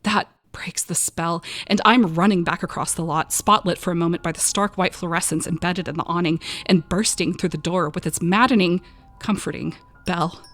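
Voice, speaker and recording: Female narrator for eerie audio drama: frightened young woman, expressive first-person delivery, breathy under tension. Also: on the low side, normal speed, soft background music. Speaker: voice actor narrating a short story.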